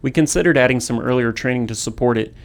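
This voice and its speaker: Fast-talking man with gravelly fry. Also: mid-range voice. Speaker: game developer. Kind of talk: developer commentary narration.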